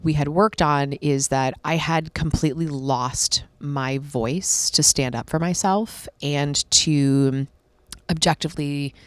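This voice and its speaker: A woman's voice: low voice, takes it slowly, no echo. A woman in her late thirties, a stand-up comic, talking candidly and at length in a casual podcast chat. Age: 30s. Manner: candid, rambling, self-reflective. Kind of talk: casual conversation.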